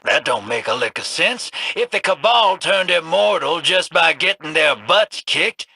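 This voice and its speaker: An actor playing a cocky, folksy old gunslinger rogue in a video game, talking with a drawling, wisecracking swagger. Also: male voice, fairly high pitch, animated, a little slow, creaky, pushed and bright, husky, no room sound, exaggerated voice. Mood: wry. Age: older.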